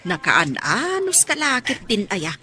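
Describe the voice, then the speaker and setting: An actress with an Ilocano accent playing a warm, bossy market auntie in a radio drama. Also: female voice, on the high side, huge pitch swings, measured pace, heavily acted. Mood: fussing, bossy. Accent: Ilocano, northern Philippines. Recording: faint crowd bustle behind.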